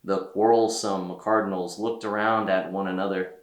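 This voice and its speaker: A man reading a children's story aloud as a storyteller, with theatrical delivery and deliberate pauses. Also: low voice, little pitch movement, echoey room. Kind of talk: expressive read-aloud narration for children.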